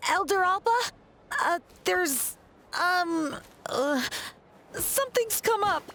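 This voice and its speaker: A timid young messenger in an anime game. The voice is extremely high and slow, with a halting, nervous delivery. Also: female voice, lively intonation, a bit bright, full character voice.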